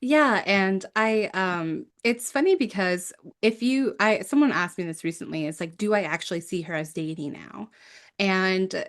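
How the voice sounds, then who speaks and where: A woman's voice: mid-range voice, normal speed. A woman from South Korea and the United States speaking as a guest in a reflective interview, earnestly explaining her views. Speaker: guest, Persephone devotee.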